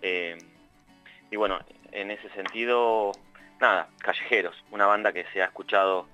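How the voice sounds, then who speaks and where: Hombre de voz grave, argentino, por teléfono. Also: male voice, on the low side, steady tone, normal speed, bright, forceful tone, clean tone, highs cut off, soft background music. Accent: Argentine Spanish. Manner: hesitant, many restarts and fillers. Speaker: radio columnist. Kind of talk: phoned-in radio column. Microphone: phone line.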